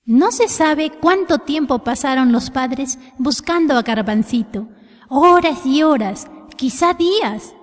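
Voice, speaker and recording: A woman narrating a scripted children's tale in an expressive storytelling style. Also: high voice, slow. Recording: long hall reverb.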